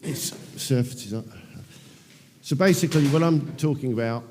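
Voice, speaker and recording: A man in his fifties with an English accent, giving a relaxed technical conference talk full of ums and dry self-deprecating asides. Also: mid-range voice, unhurried, a little hiss or hum. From England. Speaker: conference presenter. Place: large conference hall. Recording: long hall reverb.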